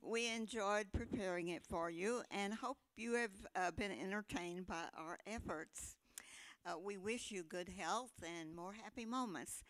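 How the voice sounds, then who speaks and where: A woman's voice: medium pitch, unhurried, fairly bright tone, dry recording, poor audio. An older woman giving a courteous closing thank-you address as a play presenter.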